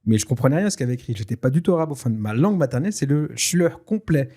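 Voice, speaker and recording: A man whose first language is Tashelhit, telling a personal story in a casual, lively way with many fillers and restarts. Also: mid-range voice, racing. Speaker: guest telling his story. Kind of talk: casual spoken testimony.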